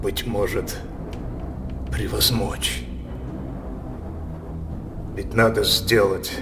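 A slow, scheming king over music. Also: medium pitch. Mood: brooding. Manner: declamatory, teasing, imperious, deliberate pauses. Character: scheming, depraved king with a sinful obsession. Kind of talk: theatrical Russian radio drama in verse. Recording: studio radio play over loud music.

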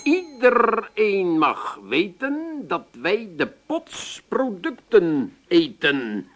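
A self-important amateur slogan poet in a comic radio play. His slightly high voice swings widely, drawing words out slowly and grandly, then dropping into muttered second thoughts. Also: big pitch swings, fairly bright tone, heavily acted. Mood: pompous, composing. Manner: theatrical declaiming broken by muttered self-corrections. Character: busy amateur jingle writer, grandly declaiming slogans, brusque. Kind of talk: 1980s comic radio drama acting.

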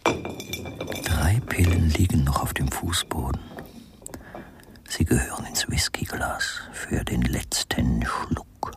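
An actor playing a coldly methodical, mocking murderer in a radio crime drama, speaking deliberately and insinuatingly, often dropping to a murmur. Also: male voice, very deep voice, in a whisper, heavily acted. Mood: murmured, calculating. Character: coldly methodical murderer, American writer husband, mocking and controlled. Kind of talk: German radio crime drama acting with interior monologue.

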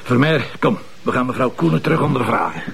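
An actor playing a blunt, sardonic, impatient police inspector with a Flemish accent in a crime drama. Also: male voice, medium pitch, sing-song, quick pace, vocal fry, a bit bright, a little hiss or hum. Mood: brisk, sardonic. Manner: confrontational, sardonic. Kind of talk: naturalistic TV crime drama dialogue.

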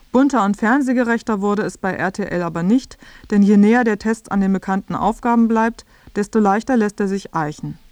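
A woman's voice: medium pitch, darkish tone, no echo. Woman narrating a radio documentary, scripted, very dry close sound. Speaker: feature narrator.

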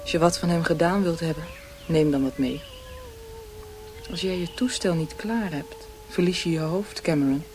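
Actress as a languid hostess, noisy recording. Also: female voice, fairly low pitch, moderate pace, a little mellow, natural voice. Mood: languid, detached. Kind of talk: radio drama acting.

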